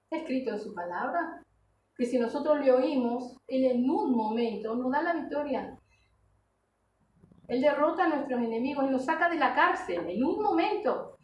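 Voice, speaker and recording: A woman delivers a sermon in a high-pitched voice. She exhorts earnestly, putting questions to her listeners and checking in with short tags. The room is very echoey, and she sounds some way from the microphone. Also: lively intonation, normal speed. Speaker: preacher. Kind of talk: sermon to a congregation. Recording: echoey room, distant mic, background noise.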